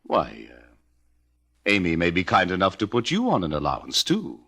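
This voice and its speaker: A man's voice: low voice, huge pitch swings, moderate pace, creaky, sharp tone, slightly rough, heavily acted. An actor playing a bitter, sardonic uncle in an old radio drama, mock-polite and pointed in his formal speech. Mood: sardonic, needling. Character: bitter, sardonic uncle of a decaying wealthy family.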